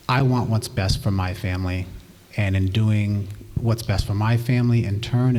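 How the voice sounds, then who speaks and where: A man's voice: low voice, darkish tone, slight background noise. A man, a single father and mayoral candidate, making an earnest forum speech with repetitive appeals. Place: community forum hall.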